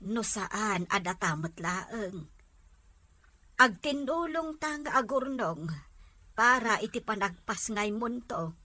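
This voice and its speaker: A woman's voice: medium pitch, expressive, slow, sharp tone, no echo, full character voice. A woman acting a caring, patient, practical mother of a grown daughter in a drama serial. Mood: consoling.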